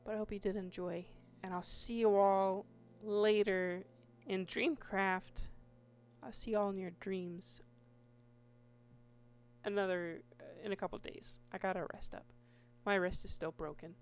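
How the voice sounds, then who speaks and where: A woman with a hoarse voice giving casual, meandering commentary over her Minecraft gameplay, restarting sentences often. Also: mid-range voice, steady tone, a little hiss or hum. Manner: slow, meandering, with many restarts and fillers. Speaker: Minecraft YouTuber.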